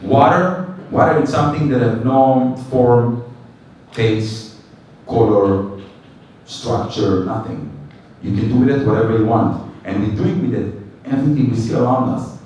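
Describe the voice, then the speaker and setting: A rabbi teaching a weekly Torah class to a live group, animated and joking, now and then breaking into short chanted tunes. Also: male voice, medium pitch, unhurried, slight background noise. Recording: echoey hall, mic from a distance.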